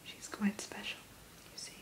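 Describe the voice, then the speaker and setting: A woman whispering softly and slowly into a close microphone, hosting an ASMR roleplay as a dragon hatchery keeper and telling the listener about each dragon. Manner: soft, slow unvoiced whisper; gentle, storytelling tone addressing the listener.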